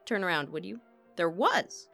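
A woman voicing a busy, matter-of-fact opera wardrobe mistress in an audiobook, chatty and brisk. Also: medium pitch, big pitch swings, a bit bright, soft background music, pitched above the performer's own voice. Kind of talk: audiobook character acting.

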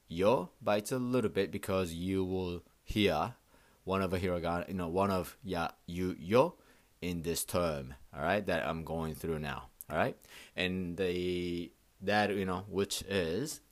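Deep-voiced man teaching slowly. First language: Japanese. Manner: repeats words slowly for learners, frequent "okay?" checks. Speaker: podcast host teaching Japanese. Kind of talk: casual language lesson.

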